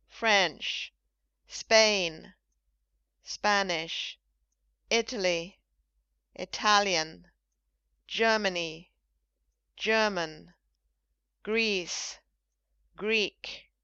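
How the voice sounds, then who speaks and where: A woman hosting an English-lesson podcast, speaking slowly and enunciating carefully for learners. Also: mid-range voice, pushed and bright, smooth, no room sound. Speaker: English teacher and podcast host. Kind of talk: scripted language lesson. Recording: dull lo-fi dry recording.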